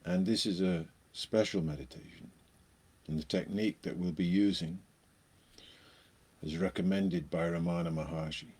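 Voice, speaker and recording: A man leading a guided meditation, speaking in short phrases with long pauses between them. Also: deep voice, fairly even intonation, darkish tone, husky.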